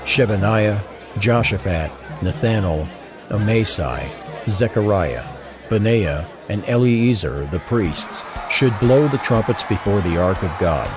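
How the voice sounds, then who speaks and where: Brief: male narrator, fairly low voice, deliberate scripture reading over clear plucked-string music. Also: expressive, a crowd in the background. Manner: formal, deliberate.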